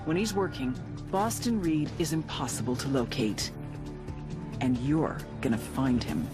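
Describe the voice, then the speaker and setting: A woman's voice: very deep voice, lively intonation, a little slow. A hard-nosed agent narrates a mission briefing over music. She is businesslike and dryly wry, in the manner of a military game cutscene.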